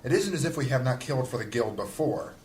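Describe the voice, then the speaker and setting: An actor voices an arrogant male mage of a secret council. His audio-drama delivery is theatrical and cutting, swinging widely in pitch over faint ambient noise. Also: expressive, fast, darkish tone, natural voice. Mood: argumentative. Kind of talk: fantasy audio drama acting.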